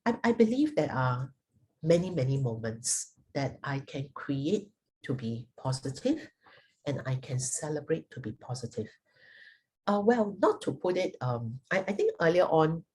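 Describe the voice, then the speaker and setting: A middle-aged woman with a Singaporean accent giving a reflective personal talk, speaking deliberately and haltingly with thoughtful pauses. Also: sits low, a little mellow, breathy edge. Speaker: guest speaker sharing personal experience.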